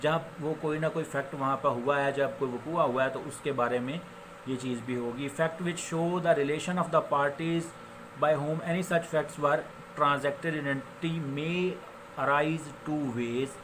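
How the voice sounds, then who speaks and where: A man with a Pakistani accent giving an informal law lecture, explaining with examples and switching into English legal phrases, which he reads slowly and haltingly. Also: fairly high pitch, fairly even intonation. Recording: noticeable background noise.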